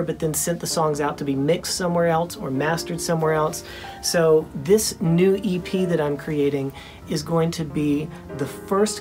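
A young man with a high voice, hosting a casual vlog from his small home studio, talking earnestly with many ums and restarts. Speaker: independent musician and channel host.

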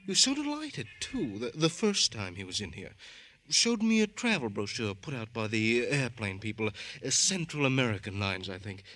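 An actor playing a fussy, nervous, snobbish clothing-store clerk in a radio drama, prissy, hesitant and over-refined. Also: male voice, mid-range voice, sing-song, normal speed, few pauses, sharp tone. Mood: chatty, snobbish. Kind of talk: old-time radio crime drama acting. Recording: hissy old-time radio recording.

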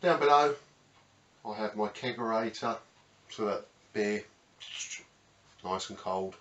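Low-voiced British man, roomy distant sound. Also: slow pace, fairly bright tone, highs cut off. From United Kingdom. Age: middle-aged. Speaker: host of a woodworking channel. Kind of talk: casual workshop tour, unscripted. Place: small cluttered indoor home workshop. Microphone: camera mic a few metres away. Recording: roomy, distant mic, faint background noise.

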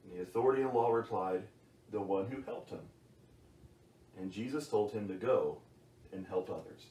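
A middle-aged man reading a playful bedtime Bible story in an expressive, theatrical way, as if to a child. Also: on the low side, normal speed. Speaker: storyteller for a church's children's series. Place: small wood-panelled bedroom indoors. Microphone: camera across the room. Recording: roomy sound, distant microphone.

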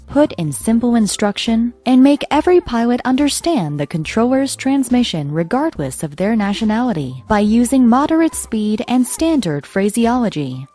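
A woman narrates a scripted lesson in a mellow, husky voice. Her intonation moves around in a lively way, with light music behind her. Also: a little high.

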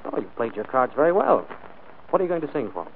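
An actor playing a wealthy, proud and urbane employer in a 1940s radio drama, speaking in a clipped, imperious way. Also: male voice, medium pitch, big pitch swings, fast. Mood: cold, sarcastic. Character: wealthy, commanding employer, suave and proud gentleman. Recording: tinny, noisy 1940s radio broadcast.

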